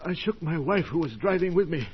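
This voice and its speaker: An actor playing a frightened, injured crash survivor in an old-time radio mystery, halting and melodramatic in his anguish. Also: on the high side, expressive, close to an everyday voice. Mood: dazed, grieving. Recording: muffled, noisy old radio recording.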